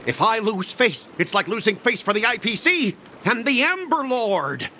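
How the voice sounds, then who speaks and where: A man's voice: high-pitched, big pitch swings, normal speed, bright, forceful tone, slight background noise, heavily acted. A man voicing a pompous, blustering corporate boss, indignant and exclamatory, in an anime-style game.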